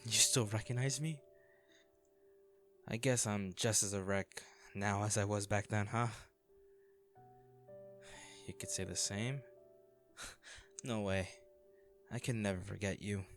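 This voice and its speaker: A man acting in an audio roleplay as an anxious, self-deprecating young man, a caring old crush who talks haltingly and intimately to the listener. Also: on the low side, steady tone, faint music behind. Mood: soft, wistful. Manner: hesitant, halting, sighing.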